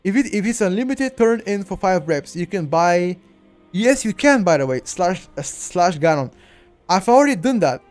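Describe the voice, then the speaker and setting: A man's voice: sits high, lively intonation, moderate pace, darkish tone, soft background music. A man with a Bulgarian accent, talking through a game walkthrough on a live stream and speaking straight to his chat, often repeating himself. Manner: chatty, addresses chat directly, repeats himself.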